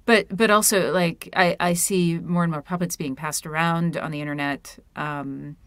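Low-pitched, playful woman in dry sound. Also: normal speed, no room sound. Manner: animated, playful, self-deprecating. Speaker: guest puppeteer and author. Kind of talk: casual interview chat with explanations. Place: small room at home, video call.

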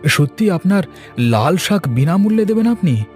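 Eager villager pitched up high by a man. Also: very animated, normal speed, a little mellow. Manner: eager, sociable. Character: chatty, easygoing village vegetable seller, male. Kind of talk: cartoon story dialogue. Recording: music bed behind.

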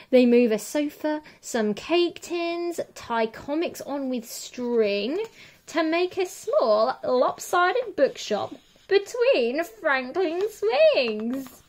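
A woman with a fairly high voice reads a children's story aloud. Her pace is slow and measured, with expressive phrasing suited to a picture book. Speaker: teacher reading picture books.

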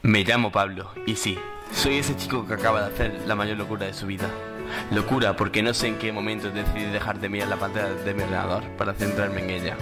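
Casting: young man as a troubled student, low voice, quick delivery over loud music. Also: steady tone, natural voice. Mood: earnest. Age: young adult. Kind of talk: scripted voice-over narration.

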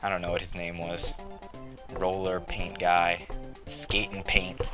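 A young man giving rambling, joking commentary while playing a game, his voice a little raspy. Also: deep voice, level tone, measured pace. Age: late teens to young adult. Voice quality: slightly raspy. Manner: joking, rambling, self-mocking. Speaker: let's play commentator. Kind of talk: casual gameplay commentary. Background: game music.

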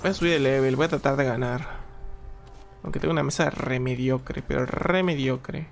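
A young man, chatting casually while playing a strategy game, reading chat and breaking into loud exclaims. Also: mid-range voice, normal speed, vocal fry. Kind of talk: casual live gameplay commentary. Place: small room at home. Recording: game music behind the voice.

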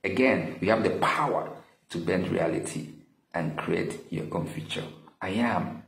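A man in his thirties giving earnest, deliberate, motivational advice to camera as a study-abroad vlogger. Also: sits low, vocal fry. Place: small indoor room with window blinds. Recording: echoey room with some background noise.

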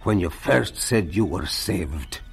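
Husky, slow-talking country preacher. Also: male voice, deep voice, expressive, exaggerated voice. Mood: kindly, jovial. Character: kindly, jovial old-fashioned country preacher. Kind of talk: radio drama acting.

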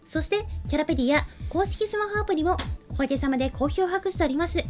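A woman's voice: high voice, fast. A young woman co-hosting a show from a desk microphone in a small studio, moving between casual chat and scripted announcements. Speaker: show co-host. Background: faint background music.

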